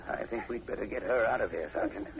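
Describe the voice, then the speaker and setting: An actor playing a grave, reassuring professor in an old-time radio drama, measured and gentlemanly. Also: male voice, on the low side, close to an everyday voice. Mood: soothing, concerned. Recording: muffled, very noisy old radio recording.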